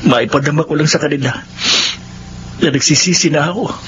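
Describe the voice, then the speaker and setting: A man's voice: fairly high pitch, fairly quick, background noise, natural voice. A man playing a repentant young man in a radio drama, earnest and respectful. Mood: humble, respectful. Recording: dull audio with music underneath. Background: music.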